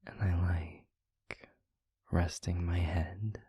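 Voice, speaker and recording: A man playing a tender, weary demon lover in an intimate audio roleplay, speaking softly and deliberately in a hushed, breathy, gravelly voice close to the microphone. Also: low-pitched, little pitch movement, no room sound, full character voice. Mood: affectionate, soothing. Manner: slow, deliberate, soft, wordy romantic phrasing. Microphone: close microphone, dry.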